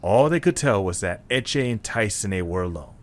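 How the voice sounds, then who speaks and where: A man's voice: fairly low pitch, expressive, normal speed, slight background noise. A man reading scripted narration as the narrator of a dramatic story.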